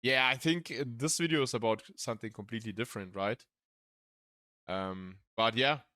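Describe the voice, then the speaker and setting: A man with a German accent chatting casually as a streamer reacting to a video, pausing to search for English words. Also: medium pitch, pushed and bright, no echo, clean studio sound. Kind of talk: casual live-stream chat.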